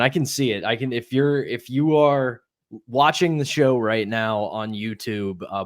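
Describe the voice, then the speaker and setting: A man's voice: lowish voice, dry recording. Man in his thirties, dry close sound. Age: 30s. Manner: conversational, opinionated. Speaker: podcast co-host. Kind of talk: casual sports commentary.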